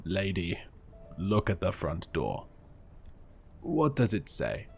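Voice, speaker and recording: A man voicing a gruff, stubborn old male shopkeeper who answers bluntly in a low, slow drawl. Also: huge pitch swings, soft background music, full character voice.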